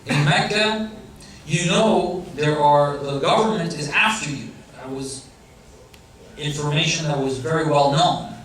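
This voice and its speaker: A man's voice: on the high side, measured pace, a bit bright, a little hiss or hum. Man lecturing in a very echoey hall. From Iranian family background. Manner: conversational, asks the audience questions. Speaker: speaker giving a religious lecture. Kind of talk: religious lecture. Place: large hall or center. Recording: very echoey hall, heard from a distance.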